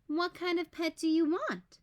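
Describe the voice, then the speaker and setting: A woman voicing cautious, patient parents in a children's picture book, in a measured, questioning way. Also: very high voice, voice pushed up.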